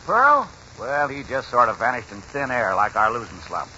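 A man's voice: mid-range voice, wildly swooping pitch, normal speed, sharp tone, muffled recording, full character voice. A man playing a folksy old grandfather in a 1940s radio drama, spinning a baseball yarn in colloquial speech. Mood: animated storytelling. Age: older. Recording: noisy, band-limited 1940s radio recording.

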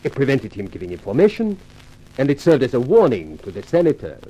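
A smooth continental racketeer from a 1950s radio mystery. His speech is formal and urbane, with swooping pitch over a soft, dusky, slightly rough tone. He stays coolly courteous while he schemes. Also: huge pitch swings, dull audio. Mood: smug menace. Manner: formal, measured, urbane phrasing. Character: suave scheming racketeer, European adagio dancer, coolly courteous. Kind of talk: 1950s radio drama acting.

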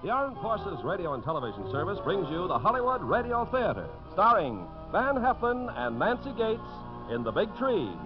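Music sits behind a muffled vintage broadcast. A male announcer presents in a high, highly animated 1950s radio style. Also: normal speed, few pauses. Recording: muffled old radio recording over music.

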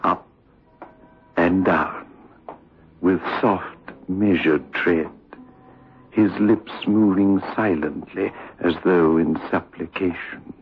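An actor playing a macabre horror-show host, narrating 1960s radio horror with ominous, drawn-out deliberation. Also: male voice, sits low, steady tone, slight background noise. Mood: ominous narration. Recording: tinny old radio recording.

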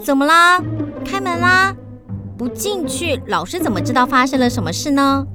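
A woman voicing a brisk, reassuring schoolteacher who coaxes and chides children in a radio drama. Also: high-pitched, music in the background. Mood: exasperated, coaxing. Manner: lively, coaxing, chiding speech to children.

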